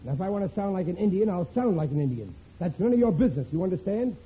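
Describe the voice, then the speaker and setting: An actor playing a gruff, self-pitying working-class husband in a 1940s radio comedy. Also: male voice, high voice, lively intonation, fast, heavily acted. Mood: indignant. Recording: tinny old radio broadcast with hiss.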